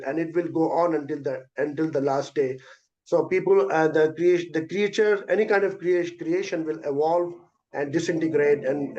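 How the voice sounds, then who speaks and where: Man w answering questions fast n hesitant, kinda highish voice, muffled call sound. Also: a little mellow. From South Asia. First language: Urdu. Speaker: guest presenter. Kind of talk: answering questions in an online event. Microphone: video call.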